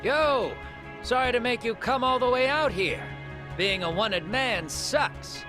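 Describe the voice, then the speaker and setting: A man's voice: very high voice, slow, music in the background. An actor playing a brash, stubborn man on the run in a video game cutscene, speaking glibly and colloquially. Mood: breezy. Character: brash male fugitive, casual but stubbornly loyal.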